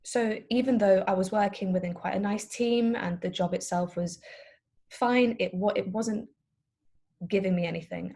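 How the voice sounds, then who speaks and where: Woman around thirty, native Dutch speaker, slightly raspy voice, medium pitch, chatty informal career talk, explanatory. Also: a little mellow. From Netherlands. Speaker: personal trainer and business owner.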